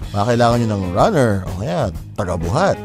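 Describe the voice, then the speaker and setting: A man playing an eager, jokey male student buddy in a radio drama, animated, with a Filipino accent. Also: mid-range voice, quick pace. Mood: playful. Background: guitar music.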